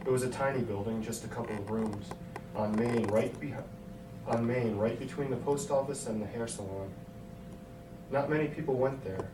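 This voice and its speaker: A man's voice: medium pitch, moderate pace. A man reading a scripted story aloud as its narrator. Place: hotel room. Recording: echoey hotel room, distant mic, noisy.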